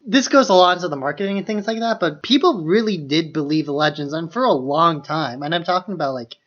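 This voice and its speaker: A man's voice: a little high, expressive, fast, fairly bright tone. A man co-hosting a podcast, chatting casually about films in very informal speech peppered with "like". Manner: informal, leans heavily on "like". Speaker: podcast co-host. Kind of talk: casual film chat. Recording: dull, lo-fi podcast audio.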